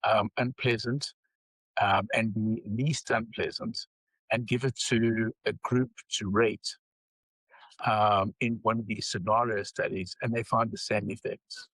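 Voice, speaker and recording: An older man with a South African accent, speaking as an interview guest in a measured way with frequent pauses. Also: on the low side, steady tone, fairly bright tone, slightly rough, no echo, low-quality recording. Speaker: guest psychology researcher. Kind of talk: interview conversation.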